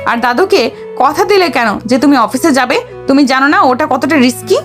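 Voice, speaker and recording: A woman acting as a proud young rich bride in an audio drama. Her voice is very high, and she is bossy and sure of herself. Soft music sits faintly underneath. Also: expressive, moderate pace. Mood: scolding, haughty. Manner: assertive, coy with elders, sharp with husband. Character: haughty, bossy young heiress, newly married, sweet-talking her grandfather.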